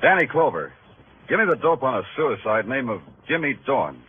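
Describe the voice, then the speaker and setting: Hard-boiled police detective, played by a male actor, brooding and clipped, lively low-to-high swings, old band-limited radio drama sound. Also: moderate pace, phone-quality sound, a little hiss or hum, natural voice. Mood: terse, troubled. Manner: terse, clipped, streetwise delivery. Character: hard-boiled world-weary New York police detective, brooding and nosy. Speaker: lead actor and narrator. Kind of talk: 1950s radio crime drama acting, noir narration.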